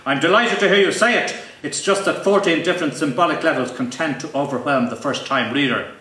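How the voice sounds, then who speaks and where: A man's voice: on the high side, brisk, sharp tone. A man voicing a self-deluded, pompous writer in a comic live reading, speaking in grandiose, formal phrases. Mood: grand, self-satisfied. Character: self-deluded pompous male literary genius, grandly vain. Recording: roomy, distant live recording with faint noise.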